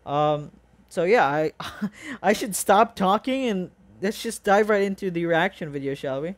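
A reaction video host chatting casually and excitedly in a rambling, exclaiming, informal way. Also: mid-range voice, measured pace.

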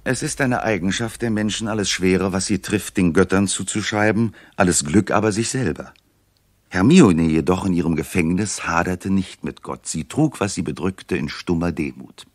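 An actor playing a composed narrator, telling a 1960s radio drama in a measured, literary manner. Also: male voice, deep voice, dry recording. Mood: composed, grave. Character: composed storyteller narrator of a royal tragedy.

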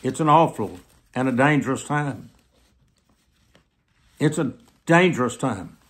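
An American man in his seventies preaching to camera in an emphatic, deliberate way, repeating phrases for weight. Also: medium pitch, animated. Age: 70s. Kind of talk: sermon delivered to camera. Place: small plain room indoors.

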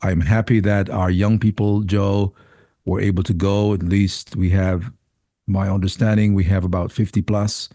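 A man's voice: low voice, flat delivery, normal speed, no echo, clean studio sound. A man, a senior pastor visiting as guest, talking casually and repeating words as he gathers his thoughts. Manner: warm-hearted, repeats words while thinking. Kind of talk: casual podcast conversation.

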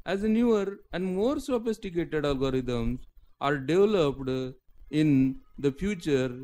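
Slow, halting male lecturer, slightly high-pitched. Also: lively intonation, dark tone. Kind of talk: scripted technical narration read aloud.

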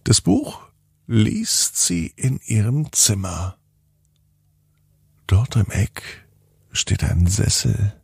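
A man narrating a bedtime story for children in an expressive, playful way, drawing out words and pausing for effect. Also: lowish voice, creaky, husky, dry recording, clean studio sound. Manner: deliberate, playful, with drawn-out words and dramatic pauses.

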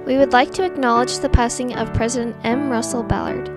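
A woman's voice: fairly high pitch, steady tone, a little fast. A teenage young woman hosting a student news show, speaking in a scripted presenter style into a handheld microphone. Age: teens. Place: indoor room at a desk. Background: background music.

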